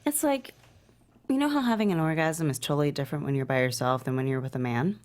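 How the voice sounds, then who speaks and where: A woman's voice: deep voice, fast, darkish tone, natural voice. A woman reading the part of a blunt, teasing young adult daughter in a script table read, frank and unembarrassed.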